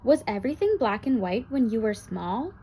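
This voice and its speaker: A woman's voice: fairly high pitch, big pitch swings, moderate pace, mellow, slight background noise. A woman voicing an imaginative, cheerful little granddaughter from a picture book, speaking earnestly and eagerly. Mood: curious.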